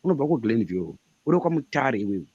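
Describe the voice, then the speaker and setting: A man's voice: mid-range voice, big pitch swings, fast talker, darkish tone, husky, dry recording, lo-fi sound. A man in his thirties from Zimbabwe, a guest author answering interview questions in an earnest, emphatic way and repeating his points. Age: 30s.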